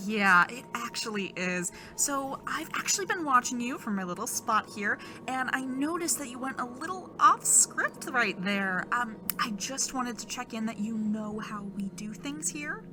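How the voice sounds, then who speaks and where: A woman's voice: a little high, lively intonation, moderate pace, few pauses, lightly acted. A woman playing a falsely cheerful, patronizing corporate manager in an audio drama, speaking in scripted polite phrases. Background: music.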